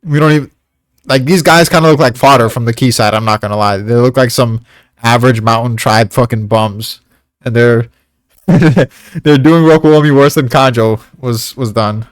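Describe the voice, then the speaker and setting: A man's voice: mid-range voice, normal speed, no echo. Slangy, rambling man in casual chat, dry sound. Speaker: co-host of a manga discussion.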